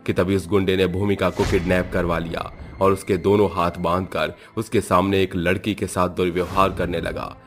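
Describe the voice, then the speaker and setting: Low-pitched male horror storyteller. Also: little pitch movement, normal speed, few pauses, noisy background. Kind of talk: scripted narration of horror moral story. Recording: music behind the voice.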